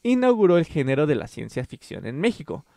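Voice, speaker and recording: A man's voice: a little high, sing-song, a little fast, gravelly, dark tone, dry recording. Man explaining literature, dry sound. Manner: explanatory, many fillers and self-corrections. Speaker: podcast host. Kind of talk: casual literary podcast chat.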